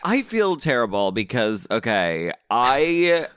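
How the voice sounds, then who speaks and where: A man's voice: medium pitch, very animated, slow, fairly bright tone, clean tone, dry recording. A man guesting on a podcast, talking in a rambling, self-deprecating, giggly way. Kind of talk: casual podcast chat.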